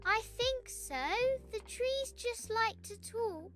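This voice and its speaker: A woman voicing a kind, brave little princess in a children's bedtime story, speaking gently and reassuringly. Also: very high-pitched, expressive, slow pace, soft background music, voice pushed up, full character voice. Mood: warm, encouraging.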